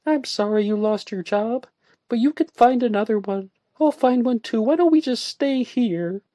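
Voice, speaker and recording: A woman reading a story aloud and voicing a distraught, volatile young woman who pleads and lashes out in shrill outbursts. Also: lively intonation, moderate pace, dark tone, dry recording, natural voice. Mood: upset.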